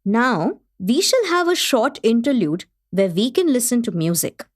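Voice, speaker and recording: An actress playing the gracious host of a literary gathering in a radio play, formal and appreciative. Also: female voice, on the high side, sing-song, measured pace. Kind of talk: scripted radio play narration.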